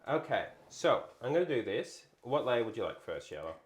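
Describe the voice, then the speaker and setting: A woman with a very deep voice cooks and chats in an easygoing way, mostly with short, agreeable replies. Her pitch rises and falls a lot, and the sound comes from an echoey room. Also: very animated, normal speed. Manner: easygoing, brief replies, giggly. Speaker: cooking stream co-host. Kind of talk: casual chat while cooking.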